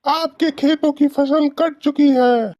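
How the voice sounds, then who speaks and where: A woman's voice: high voice, steady tone, slow, mellow, dry recording, studio quality, pitched above the performer's own voice. A woman voicing a menacing, impatient male ghost from a lamp in a cartoon story, demanding and threatening. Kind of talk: cartoon story character acting.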